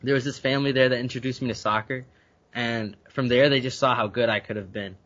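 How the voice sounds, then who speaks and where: A young man from Guyana speaks as a podcast guest at a middle pitch. He talks earnestly and often repeats a word while he gathers his thoughts. The recording has a slightly dull, lo-fi sound. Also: fairly even intonation, fast talker, a bit bright. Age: young adult. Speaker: university student guest. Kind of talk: conversational interview answers.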